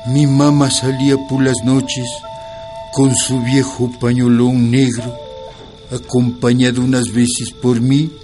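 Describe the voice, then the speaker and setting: An actor playing a grown son who narrates his mother's life in a radio drama, solemn and affectionate, in measured storytelling. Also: medium pitch, a little mellow, close to an everyday voice.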